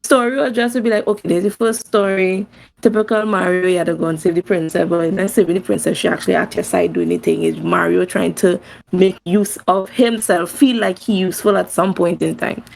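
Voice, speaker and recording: A woman's voice: lowish voice, steady tone, a little fast, gravelly, a little mellow, poor audio. A woman with a Trinidadian accent co-hosting a podcast, giving blunt, informal opinions in casual review chat. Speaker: podcast co-host.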